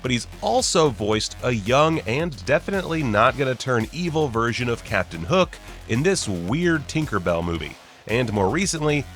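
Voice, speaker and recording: A man's voice: medium pitch, fairly bright tone. A man narrating a scripted list video as host, with wry, sarcastic, joking asides. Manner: wry, sarcastic, jokey mock-casual delivery.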